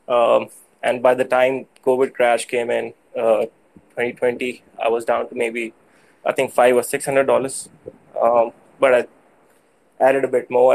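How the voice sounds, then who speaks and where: A man with a Pakistani accent, speaking English as a second language, tells his story haltingly as a guest on a live audio show. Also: medium pitch, creaky, a little mellow, low-quality recording. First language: not English. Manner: halting, hesitant, frequent hedging. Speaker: guest caller.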